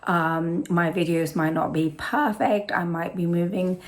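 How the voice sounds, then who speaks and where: A British woman with a hoarse, slightly low voice introduces her knitting and travel channel. She rambles casually and keeps correcting herself with plenty of ums and uhs. The sound comes from a simple, non-professional camera setup. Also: steady tone, a little slow. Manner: rambling, full of fillers and self-corrections.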